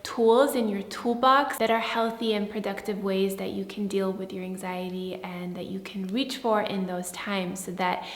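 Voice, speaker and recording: A young woman from Sweden, a native Swedish speaker, talking in a soft, earnest way and often saying "like" while she answers viewer questions. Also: medium pitch, fairly even intonation, normal speed, few pauses. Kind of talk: casual Q&A to camera. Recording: echoey room with long reverb.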